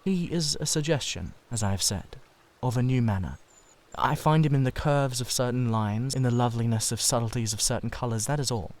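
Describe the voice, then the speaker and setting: He is an earnest, idolising artist, acted out by a man in a dramatised classic novel. His mid-range voice is fervent and confessional, halting as he searches for words. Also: mid-range voice, a little mellow, close to an everyday voice. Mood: guarded, troubled. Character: earnest, intense, idolising male painter. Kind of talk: dramatised literary audiobook reading.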